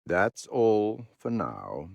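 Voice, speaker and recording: An actor playing a refined, sardonic and ominous male curator of macabre tales, narrating a horror game in a measured, dramatic, dryly mocking way. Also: lowish voice, wildly swooping pitch, creaky, no room sound, full character voice. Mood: ominous, cryptic. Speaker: narrator and host figure of a horror video game. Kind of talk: theatrical scripted game narration.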